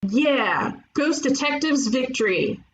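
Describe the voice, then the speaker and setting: A woman playing a brash, bossy ghost detective in an animated comedy table read, teasing and cocky. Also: high-pitched, slow, low-quality recording. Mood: triumphant. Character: brash, bossy young female ghost detective who loves puns.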